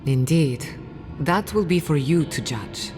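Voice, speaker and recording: A woman playing an inquisitive, wry scholar in a fantasy video game, speaking in an analytical, expressive way. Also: deep voice, a little slow. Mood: thoughtful, resolute. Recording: reverberant hall, music underneath. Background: music.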